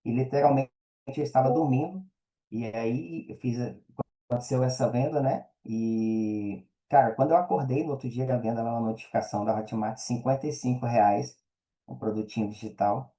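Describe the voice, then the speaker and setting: A man with a very dark, soft-edged timbre and an average pitch speaks with a Brazilian accent. He is a podcast guest telling a personal story in casual, colloquial language, often adding little tag questions. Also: moderate pace.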